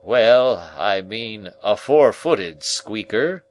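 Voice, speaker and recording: A man voicing a pompous, blustering middle-aged man who lectures a boy in a loud, drawn-out, self-important way. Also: lowish voice, animated, a little hiss or hum. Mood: hectoring.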